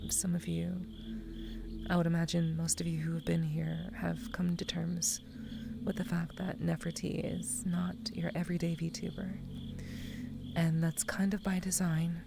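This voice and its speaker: A young woman streamer talking in a soft, soothing half-whisper, her voice a little scratchy after crying, with music behind her. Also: lowish voice, flat delivery, normal speed, gravelly. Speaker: VTuber streamer. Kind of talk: ASMR stream chat.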